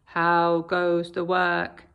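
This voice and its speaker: A woman voicing a lazy old male farmer who slowly calls out demands from his bed. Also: monotone, a bit bright, smooth, lo-fi sound, full character voice. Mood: demanding. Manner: slow, booming, demanding.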